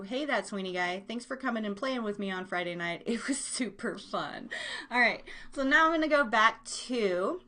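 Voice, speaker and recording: Chatty high-voiced woman hosting live. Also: a bit bright. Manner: chatty, rambling, giggly, self-deprecating; playful exaggeration and silly made-up words. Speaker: podcast host. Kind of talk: casual live chat show, talking to listeners in chat.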